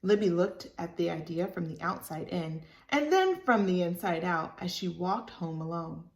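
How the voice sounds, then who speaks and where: Woman, children's storytime reader, animated, talks to listeners as friends, echoey room. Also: fairly low pitch, normal speed. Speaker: teacher reading a storybook aloud to children. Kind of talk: expressive children's storytime narration with asides.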